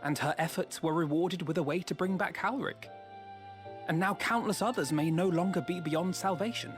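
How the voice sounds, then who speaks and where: A man's voice: high-pitched, normal speed, close to an everyday voice. Young male game scholar acted by a man: earnest, refined, eloquent archaic speech, light music behind. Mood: admiring, reflective. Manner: formal, eloquent, archaic diction. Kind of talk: scripted video game cutscene acting.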